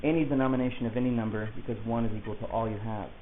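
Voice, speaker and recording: Man acting as agitated rambling client, earnest, halting, self-justifying, medium pitch, thin phone-like sound, audio play. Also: fairly even intonation, lightly acted. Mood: earnest, insistent. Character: agitated, rambling male client insisting he is targeted. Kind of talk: naturalistic conversational audio drama. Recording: hissy, tinny phone-like lo-fi recording.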